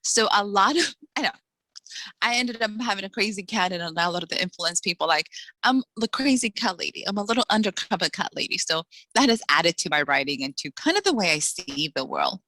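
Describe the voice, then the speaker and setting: A woman in her forties from the Dominican Republic, talking quickly and cheerfully as a guest author in an interview, laughing now and then. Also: medium pitch, animated, sharp tone, no echo, poor audio. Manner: quick, chatty, laughs often. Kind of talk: casual interview chat.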